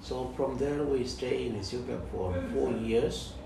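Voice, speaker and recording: A man in his forties from South Sudan, a guest in an interview, speaking slowly and haltingly with many pauses. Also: medium pitch, gravelly. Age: 40s. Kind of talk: interview conversation. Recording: distant mic in echoey room, noisy.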